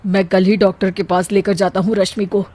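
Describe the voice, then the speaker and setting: A woman's voice: medium pitch, level tone, brisk, mellow, no room sound, natural voice. A woman voicing concerned, earnest men, a husband and a doctor, in a cartoon story.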